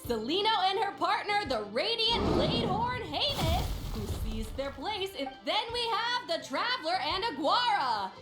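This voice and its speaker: A woman's voice: extremely high pitch, very animated, moderate pace, hardly any pauses. A woman playing a showy, theatrical tournament announcer in an anime video game. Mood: grand, excited. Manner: theatrical, proclaiming to spectators. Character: showy female tournament announcer, bright and grand. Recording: roomy echo, faint game music.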